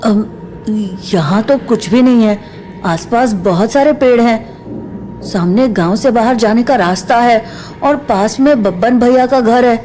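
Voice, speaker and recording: Slow, halting, innocent young boy played by a woman. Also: fairly high pitch, warm tone, slight background noise, lightly acted. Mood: curious. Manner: hesitant, halting, childlike. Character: confused, innocent young boy questioning his father. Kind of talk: Hindi horror audio drama acting. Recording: music under the voice.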